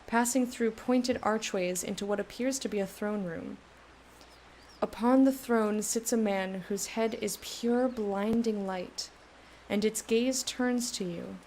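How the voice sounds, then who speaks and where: A woman's voice: medium pitch, a little mellow, slight background noise. A woman who hosts a let's play, reading the game's text aloud and chatting casually.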